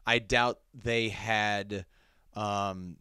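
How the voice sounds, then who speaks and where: A man's voice: lowish voice, fairly even intonation, very slow, sharp tone, clear, resonant tone, no echo, studio quality. A man chatting casually and self-deprecatingly as a voice actor reacting to his own video game scenes. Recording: noisy, game audio underneath. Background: video game cutscene audio.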